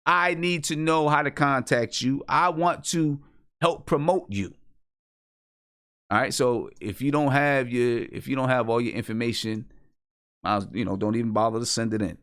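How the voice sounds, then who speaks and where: A man's voice: mid-range voice, normal speed, a bit bright, no echo, clean studio sound. A man hosting a music review show, talking casually to artists and listeners in an instructive, insistent way and often checking they follow. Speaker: host of an independent hip hop music review show. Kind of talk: casual live radio-show talk addressed to artists and listeners.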